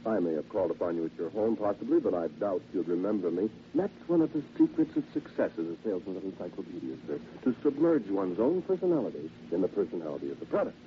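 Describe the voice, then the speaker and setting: Bitter sardonic widower salesman; low voice, old radio drama sound. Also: very animated, quick pace, hardly any pauses, slight background noise. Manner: wry, taunting, chuckling to himself. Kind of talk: 1940s radio drama acting. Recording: muffled vintage radio transcription.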